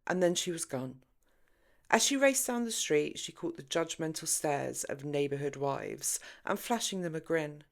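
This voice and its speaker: Low-pitched woman narrating fiction. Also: lively intonation, moderate pace, gravelly. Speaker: author reading own audiobook. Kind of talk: expressive scripted fiction narration.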